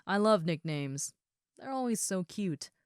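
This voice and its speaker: A woman playing a big, friendly ogre roommate in an audio roleplay, chatting casually and gently to the listener. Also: on the low side, expressive, a little slow, clear, resonant tone, dry recording, clean studio sound. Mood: friendly, teasing. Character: huge muscular red female ogre roommate, friendly and easygoing.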